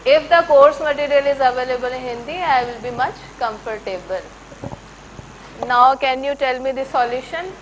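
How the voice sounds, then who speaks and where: A woman's voice: sits high, normal speed, a little hiss or hum, low-quality recording. A woman with an Indian English accent leading an interactive workshop session, quizzing the audience and mixing in Hindi. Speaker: workshop trainer. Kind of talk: interactive classroom teaching. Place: large lecture hall.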